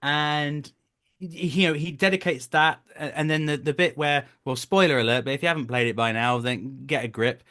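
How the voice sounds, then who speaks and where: A man's voice: fairly high pitch, normal speed. Chatty, self-deprecating man telling stories. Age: 30s. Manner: animated storytelling, self-deprecating, jokey. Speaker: interview guest, voice and performance director. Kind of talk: casual interview anecdotes.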